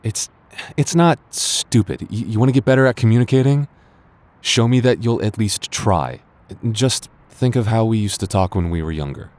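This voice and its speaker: A man playing a flustered, defensive young man secretly in love with his childhood friend, speaking haltingly with stammers in a romantic audio roleplay. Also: fairly low pitch, darkish tone, close to an everyday voice. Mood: conciliatory.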